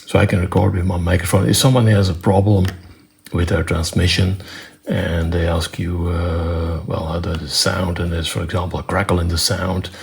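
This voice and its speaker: A man presenting an unscripted technical demonstration in a matter-of-fact, step-by-step way, with halting phrasing. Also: deep voice, little pitch movement, vocal fry. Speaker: presenter demonstrating own electronics project.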